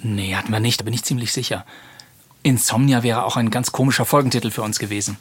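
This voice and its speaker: An affable male voice actor chats in a fiction podcast interview. His voice is husky and unhurried, with an everyday conversational feel. The sound is very dry. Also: medium pitch, steady tone, a bit bright, dry recording. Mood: polite, puzzled. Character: calm male voice actor from old kids' mystery series.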